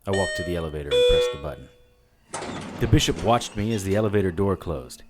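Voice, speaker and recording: A world-weary supernatural investigator narrates in a very deep voice. He is dry and wry, telling his own story in noir audio drama style. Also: lively intonation, fast talker. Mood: wary. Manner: dry, matter-of-fact, wry.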